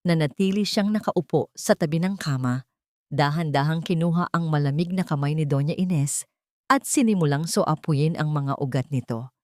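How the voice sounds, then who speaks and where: Low-voiced woman narrating a drama. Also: moderate pace, a little mellow, no echo, studio quality. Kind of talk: dramatic scripted story narration.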